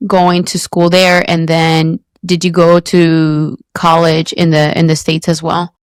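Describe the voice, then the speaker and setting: Woman, interview host, polite, formal intro, low-pitched, dry recording. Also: little pitch movement, slow pace, smooth, dry recording. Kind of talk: interview hosting.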